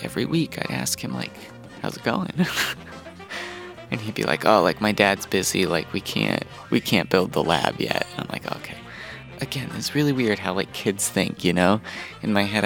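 A low-pitched man tells personal stories in a casual, conversational way. His delivery swings freely and has a creaky edge. Soft music runs underneath. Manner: conversational, chuckling as he recounts childhood memories.

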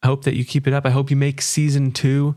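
A man's voice: medium pitch, completely flat delivery, quick pace, warm tone. A man hosting an interview podcast in a conversational, affirming and appreciative way.

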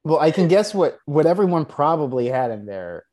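A man's voice: a little high, sing-song, moderate pace, mellow. Wry, hesitant man in his thirties. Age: 30s. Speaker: podcast guest. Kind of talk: casual podcast chat.

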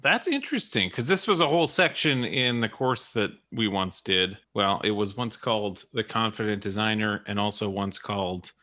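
A man's voice: fairly low pitch, expressive, normal speed, few pauses, bright, forceful tone. A man co-hosting a podcast, chatting casually and laughing easily. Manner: laughs often, chatty with many "like" fillers. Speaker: podcast co-host.

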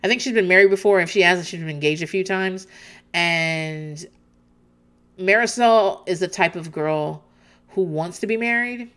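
A woman's voice: fairly low pitch, moderate pace, fairly bright tone, clean tone. A woman host chatting casually as she recaps a reality show, swearing freely and laughing often.

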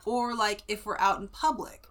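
A woman's voice: medium pitch, steady tone, normal speed, a bit bright. A woman with a Central California accent, co-hosting a book podcast and retelling a chapter in a chatty way. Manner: chatty, many fillers. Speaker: podcast co-host.

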